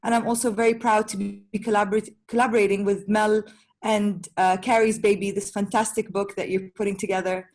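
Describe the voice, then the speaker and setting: A woman's voice: mid-range voice, level tone, fairly quick, a little mellow. A woman doula talking passionately and at length on a panel, with many restarts. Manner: passionate, rambling with fillers and restarts. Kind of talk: casual panel chat.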